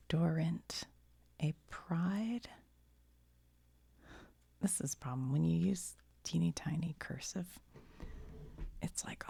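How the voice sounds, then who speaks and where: An American woman whispering in a casual ASMR show-and-tell style, musing aloud to the viewer as she reads things out in a breathy, gravelly voice. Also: lowish voice, mellow.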